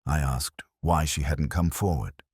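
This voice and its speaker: A man narrating a literary detective story in the first person, with a solemn, measured, brooding delivery. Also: very deep voice, a little mellow, husky, dry recording, studio quality.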